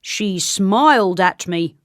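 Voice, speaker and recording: Woman acting a glum castle guard, stern, solemn, slow emphatic delivery, children's storybook. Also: medium pitch, animated, no echo, clean studio sound. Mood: solemn, reporting. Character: gloomy, stern male castle soldier.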